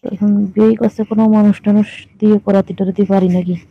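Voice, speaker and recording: A woman's voice: mid-range voice, level tone, brisk, vocal fry, slightly rough. A woman in a casual, teasing, colloquial phone chat. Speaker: caller in a staged phone conversation. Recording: muffled lo-fi phone call recording.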